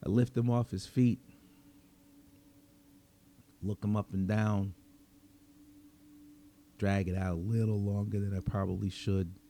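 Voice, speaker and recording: A man narrating a dramatic story in the first person as a cynical, wry, menacing vigilante vampire, with sardonic, slangy delivery and dramatic pauses. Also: on the low side, level tone, dark tone, slight background noise. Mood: grim, wry.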